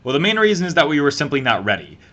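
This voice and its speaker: A man's voice: fairly high pitch, sing-song, a bit bright, dull audio. A man giving an opinionated, sarcastic political commentary rant. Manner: sarcastic, fast-talking.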